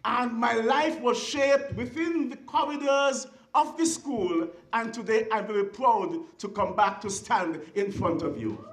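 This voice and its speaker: A speaker with a Kittitian accent giving a formal ceremonial speech in a deliberate, oratorical way, with emphatic short phrases. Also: medium pitch. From Saint Kitts and Nevis. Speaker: guest speaker at a school ceremony. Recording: echoey venue sound.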